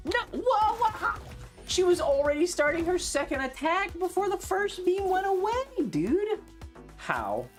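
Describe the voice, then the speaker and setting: A woman's voice: very high voice, very animated, a little slow, faint music behind. A woman live-streaming a video game, chatting casually with slangy, sweary exclamations. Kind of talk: casual gaming stream commentary.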